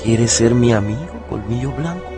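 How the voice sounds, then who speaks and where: A man's voice: medium pitch, expressive, normal speed, music in the background, close to an everyday voice. A man playing a principled, kind but firm miner in a radio drama, earnest and commanding. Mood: soothing. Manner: earnest, commanding yet compassionate.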